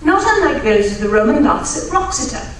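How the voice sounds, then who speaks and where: A woman plays a genteel lady in a radio mystery. Her voice is slightly high, with a polite and earnest delivery. The recording is distant and very echoey, with faint noise. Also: big pitch swings, a little fast. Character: Lady Georgina, polite, helpful, well-bred female client. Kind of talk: old-time radio drama acting.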